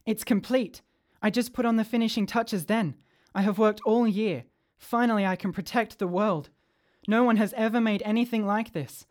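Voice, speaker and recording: A man's voice: sits high, fairly even intonation, normal speed, natural voice. A man playing an earnest, adventurous schoolboy inventor in a radio drama, speaking in declarations. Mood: proud.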